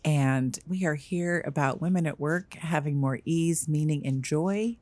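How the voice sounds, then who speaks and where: Low-voiced woman co-host, fast and casual, words run together. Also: a little mellow, no room sound. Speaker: podcast co-host. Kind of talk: casual podcast chat.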